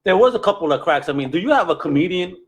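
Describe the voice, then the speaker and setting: A man's voice: high-pitched, expressive, racing. A man hosting a podcast in casual chat, with an enthusiastic, slangy and emphatic way of talking. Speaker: podcast host.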